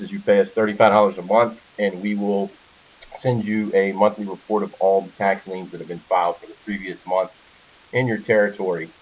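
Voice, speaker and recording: A man's voice: fairly low pitch, low-quality recording. An American man giving an informal sales presentation on a conference call, walking through slides as the presenter. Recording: hissy conference call audio.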